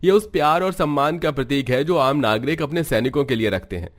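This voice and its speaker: A man playing a proud, warm, patriotic army major in a cartoon moral story. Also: fairly high pitch, animated, fairly quick, lightly acted. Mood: proud, friendly.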